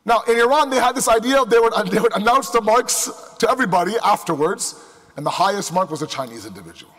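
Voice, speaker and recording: High-voiced male preacher in a reverberant hall. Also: lively intonation, fast talker, fairly bright tone. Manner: emphatic, with rhetorical questions and repetition. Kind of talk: sermon to a live congregation. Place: large indoor hall before a crowd. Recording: echoey hall with long reverb.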